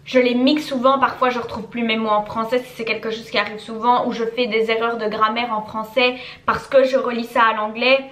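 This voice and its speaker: Chatty young woman in an echoey room. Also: on the high side. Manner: chatty, repeats words for emphasis. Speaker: YouTuber answering viewer questions. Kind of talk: casual chat to camera.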